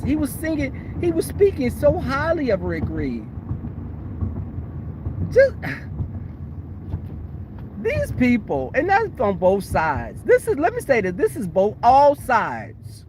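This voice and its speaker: A woman vlogging in a car, talking in a blunt, sassy, opinionated way with a voice that is going hoarse. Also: sits high, huge pitch swings, takes it slowly. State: losing her voice. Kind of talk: casual chat. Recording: noisy car interior.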